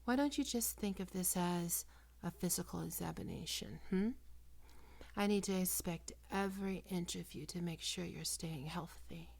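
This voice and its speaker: A woman playing a possessive, predatory female vampire in an ASMR roleplay, coaxing and cooing in a breathy voice that often drops into whispers. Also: on the low side, darkish tone. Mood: admiring, possessive. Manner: slow, cooing, condescending endearments.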